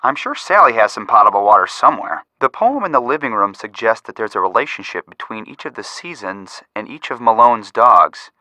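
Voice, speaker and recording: A very crisp-edged, bright young American man. His pitch moves in a lively way as he hands out hints, wry and conversational. The sound is dry, with no room on it. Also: a little fast, hardly any pauses, no room sound. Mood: wry, helpful. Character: upbeat young male amateur detective giving helpful hints. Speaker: detective helper giving hints. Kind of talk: scripted video game voice acting.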